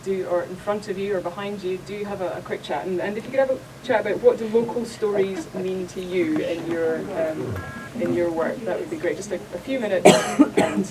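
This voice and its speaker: A woman's voice: fairly low pitch, fairly even intonation, brisk, few pauses, far from the mic, background noise. A Scottish woman hosting a festival panel discussion, chatty and rambling with many restarts. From Scotland. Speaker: panel host. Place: conference room.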